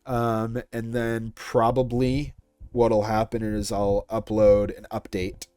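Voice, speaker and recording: Young man, low-pitched voice, informal and chatty, casual interview answers, music in the background. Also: completely flat delivery, takes it slowly, warm tone, clear, resonant tone, no room sound. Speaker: series creator being interviewed.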